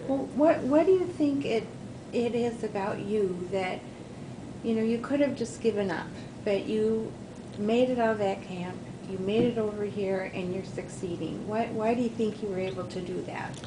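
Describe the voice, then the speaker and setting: A woman conducts an interview, prompting her guest with soft, encouraging questions. Her voice sits at an ordinary pitch for a woman. A constant rumble lies behind her. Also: normal speed.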